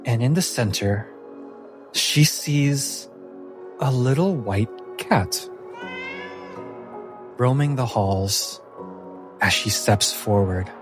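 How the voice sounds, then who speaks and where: Guy game master telling a fantasy story real slow and suspenseful, mid-pitched voice, music playing behind. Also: animated. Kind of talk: dramatic tabletop story narration. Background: background music.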